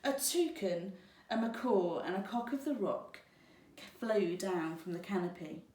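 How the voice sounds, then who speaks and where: Female storybook narrator, teacherly, deliberate unhurried pace, distant roomy recording. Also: medium pitch. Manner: deliberate, expressive read-aloud. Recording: roomy, echoing, distant microphone.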